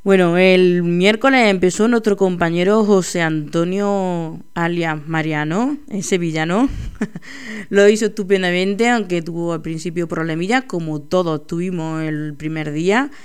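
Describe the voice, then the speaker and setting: Radio host lady, slow chatty talk, laughs at herself. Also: lowish voice, clear, resonant tone. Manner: chatty, laughing, self-deprecating. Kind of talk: casual local radio chat.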